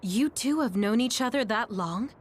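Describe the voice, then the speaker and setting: A woman playing a calm, authoritative military major in a video game. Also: on the high side, moderate pace, a little hiss or hum, lightly acted. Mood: curious. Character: composed, firm female military major.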